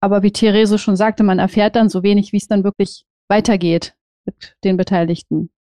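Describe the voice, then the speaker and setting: A woman chats casually as one of a podcast panel. Her voice is soft-edged and mellow at a medium pitch, in a dry recording. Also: little pitch movement, a little fast, no echo. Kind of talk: casual chat.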